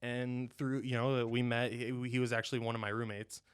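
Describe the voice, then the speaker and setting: A man in his twenties from Ohio talks through his story in a loose, rambling way, padding his sentences with fillers and breaking into light laughs. His voice is mid-pitched and smooth. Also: flat delivery, fairly quick. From Ohio, USA. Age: 20s. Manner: rambling, heavy on "you know" and "um", laughs often. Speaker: podcast guest, dental student. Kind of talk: casual interview chat.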